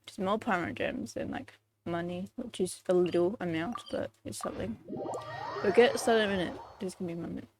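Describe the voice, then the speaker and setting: A woman's voice: on the low side, fairly quick. A woman livestreamer chatting casually while gaming, rambling and hesitant, with muttered asides. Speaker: game livestreamer.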